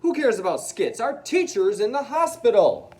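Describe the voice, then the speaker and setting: Man acting out an excitable young schoolboy, pitched up. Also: moderate pace, recorded from a distance. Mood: indignant. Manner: exclaiming, questioning. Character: young schoolboys, earnest, excitable and easily upset.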